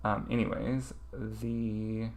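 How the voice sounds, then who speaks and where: Lower-voiced man studying aloud on stream. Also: flat delivery, slow, a little mellow. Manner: thinking aloud, hesitant with many ums. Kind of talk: casual livestream study session.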